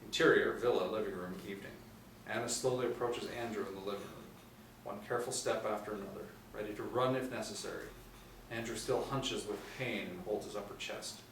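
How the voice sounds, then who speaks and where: A middle-aged man narrates a screenplay in a fairly low voice. He is recorded from some distance in a roomy space, with faint background noise. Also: steady tone, brisk, fairly bright tone. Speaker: narrator reading stage directions. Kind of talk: scripted narration. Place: small indoor room, seated.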